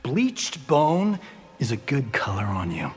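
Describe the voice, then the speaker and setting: An actor playing a cocky, wisecracking movie-star fighter in a video game, raspy, flippant and slangy, with exaggerated swoops. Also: male voice, mid-range voice, wildly swooping pitch, slow, soft background music, full character voice. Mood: smug, teasing. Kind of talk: comic video game acting.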